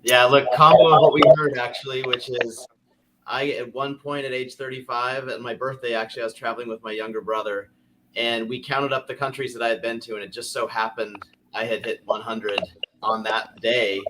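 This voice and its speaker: Man, middle-aged, upbeat and brisk, clear background noise on the call. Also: mid-range voice, level tone. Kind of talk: panel guest answer. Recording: noisy call line.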